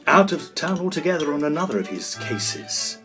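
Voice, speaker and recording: Genteel gentleman ghost hunter played by a man, sociable yet easily shaken, lively intonation, period radio-play storytelling over music. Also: fairly high pitch, normal speed. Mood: genial, composed. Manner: formal, expressive, old-fashioned phrasing. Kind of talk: scripted first-person storytelling, period audio drama.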